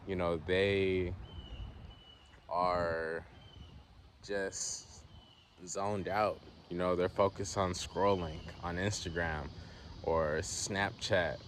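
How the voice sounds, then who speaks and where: A man's voice: low-pitched, a little hiss or hum. A man from the Southern US with a Southern accent, rambling in an unscripted monologue and saying "you know" a lot. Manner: rambling, frequent "you know", laid-back. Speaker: vlogger.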